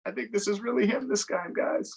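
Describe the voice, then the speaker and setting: A man's voice: sits high, brisk, vocal fry, slightly rough. A man reading aloud to children as a storytime host, playfully putting questions and asides to his listeners. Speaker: storytime host, public official.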